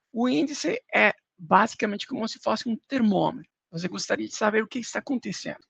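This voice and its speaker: A man's voice: high-pitched, creaky, fairly bright tone, dry recording. A man from Colombia with a Colombian Spanish accent, a podcast guest explaining financial indexes in casual talk with everyday comparisons and some hesitant restarts.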